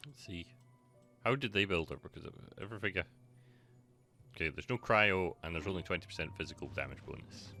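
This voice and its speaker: A man's voice: sits low, expressive, brisk, long pauses, bright, forceful tone, faint music behind. A man giving casual gameplay commentary as a streamer, in offhand muttered asides. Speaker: streamer, let's player.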